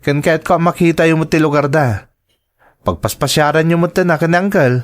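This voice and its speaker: Give the part of a young man in an audio drama, played by a male actor. His voice sits a little high. He is devoted and generous, speaking earnestly to the people he cares for. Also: normal speed, natural voice. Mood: eager, respectful. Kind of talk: radio drama acting in Ilocano.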